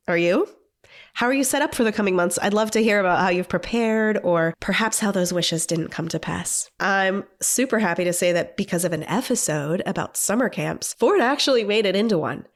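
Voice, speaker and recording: A woman hosting a podcast, enthusiastic and gushing as she introduces and welcomes her guest. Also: lowish voice. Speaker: podcast host.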